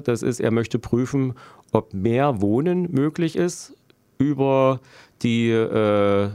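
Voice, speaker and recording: A middle-aged man from Germany answering interview questions as a local politician, explaining deliberately and haltingly with dry irony. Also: mid-range voice, a little mellow, no room sound. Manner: deliberate, halting, dryly ironic. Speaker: local politician interviewed, road planner by profession.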